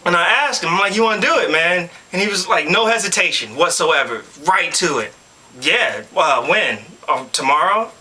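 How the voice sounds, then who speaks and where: A young man from Louisville, Kentucky, telling a story in a casual, animated way, with slang and frequent laughs. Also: high-pitched, moderate pace, rough recording. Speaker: audition video co-presenter.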